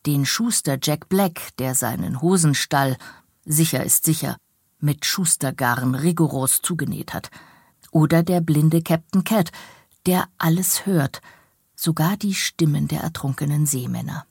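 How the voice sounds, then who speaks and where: A woman narrating a scripted cultural radio feature with an expressive, precise reading style. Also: low-pitched, unhurried, slightly rough, no echo, studio quality. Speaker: radio reviewer.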